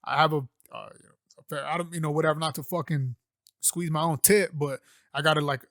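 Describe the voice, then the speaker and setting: A man's voice: fairly high pitch, steady tone, a little fast, no room sound, clean studio sound. A young man hosting his own podcast at home, talking loosely and profanely with lots of fillers into a condenser mic. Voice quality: loud voice. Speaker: podcast host. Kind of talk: casual solo podcast talk. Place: home room setup. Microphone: condenser microphone.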